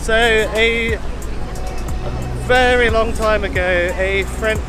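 A man's voice: high-pitched, fairly even intonation, takes it slowly, over music, crowd noise. A man in his thirties chatting casually to camera as a travel vlogger. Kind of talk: casual vlog chat to camera. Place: mostly outdoors in busy city streets and squares. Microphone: camera held at arm's length.

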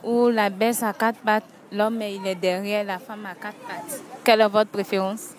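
A woman's voice: medium pitch, little pitch movement, unhurried. A young woman interviewing passers-by on a market street with a handheld mic, asking blunt, teasing questions. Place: busy outdoor market street. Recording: outdoor crowd noise. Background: crowd.

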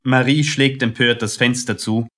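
A man's voice: medium pitch, steady tone, moderate pace, pushed and bright. Male narrator for a radio drama, mid-range voice, scripted storytelling delivery.

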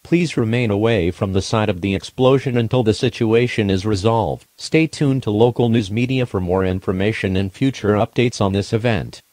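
Synthetic man, low-pitched, formal, impersonal, emergency alert announcer, scripted, dry sound. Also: moderate pace, hardly any pauses, darkish tone, dry recording.

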